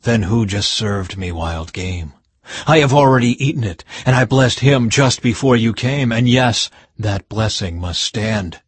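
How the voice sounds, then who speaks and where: A man's voice: fairly low pitch, animated, measured pace, darkish tone, slightly rough, no echo. A man reading as a grave old blind father. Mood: troubled. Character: old blind father giving a solemn blessing.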